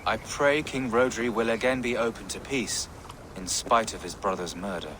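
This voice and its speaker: An actor playing a grave, pious medieval bishop who seeks peace, speaking in a measured, formal way for a video game. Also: male voice, lowish voice, background noise, natural voice. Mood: gentle, sorrowful.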